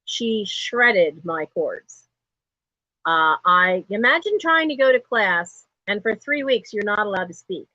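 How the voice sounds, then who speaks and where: Middle-aged lady w a low speaking voice, chatty and rambly, hosting a live sale, dull lo-fi stream sound. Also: sing-song, takes it slowly, bright, forceful tone, dry recording. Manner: chatty and digressive, laughs often. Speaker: host of a live online sale. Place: home.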